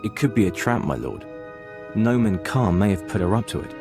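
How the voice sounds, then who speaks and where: Careful loyal empire captain for a game, kinda low, very formal, music playing under him. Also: male voice, sing-song, fairly quick. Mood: wary, dutiful. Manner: formal, deferential. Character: cautious, loyal male imperial captain. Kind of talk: video game dialogue acting.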